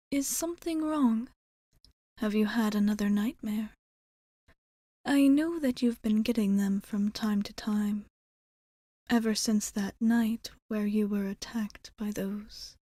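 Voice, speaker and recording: A woman playing a gentle, protective female vampire in an ASMR roleplay, speaking softly and breathily with formal, old-fashioned courtesy. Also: fairly high pitch, no room sound, studio quality. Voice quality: soft, breathy, often whispering.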